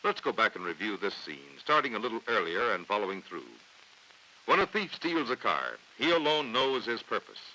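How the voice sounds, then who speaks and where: An American man reading scripted training film narration in a formal, authoritative, measured way on a vintage 1950s soundtrack. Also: fairly low pitch, big pitch swings, sharp tone, slight background noise, low-quality recording. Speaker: documentary narrator.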